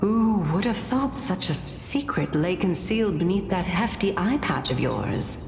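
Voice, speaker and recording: A woman voicing a serene, cryptic titan goddess in a video game, measured, knowing and aloof. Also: fairly low pitch, big pitch swings, darkish tone, a little hiss or hum. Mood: curious, skeptical. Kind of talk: dramatic video game voice acting. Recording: echoey reverberant hall, faint music.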